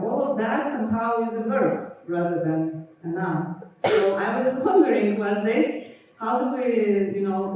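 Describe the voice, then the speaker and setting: A woman's voice: medium pitch, dark tone, a little hiss or hum. A woman whose first language is Russian, speaking on a conference panel and reflecting aloud as she searches for her words. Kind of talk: panel discussion. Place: conference hall. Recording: echoey hall, distant mic.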